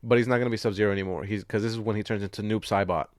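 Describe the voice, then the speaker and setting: A man's voice: on the low side, fairly even intonation, very fast, no room sound, studio quality. A young adult man co-hosting a podcast in casual movie chat, rambling, slangy and profane with a jokey manner. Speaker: podcast co-host.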